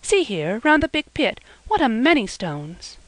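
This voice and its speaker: A woman voicing a gentle, eager young girl, light and earnest. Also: on the high side, very animated, measured pace, no room sound, pitched above the performer's own voice.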